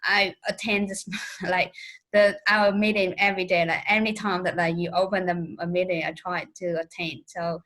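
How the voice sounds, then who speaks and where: A woman's voice: on the low side, flat delivery, normal speed, fairly bright tone, poor audio. Hesitant woman with many fillers. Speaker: speech club member. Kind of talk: casual spoken reflection on a video call. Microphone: video call.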